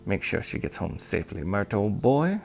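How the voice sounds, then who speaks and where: A man voicing a kindly old male shopkeeper in an audiobook, with courteous, old-fashioned, gently formal phrasing. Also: on the low side, big pitch swings, normal speed, gravelly, soft background music. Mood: kindly, reassuring. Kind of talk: audiobook character voice.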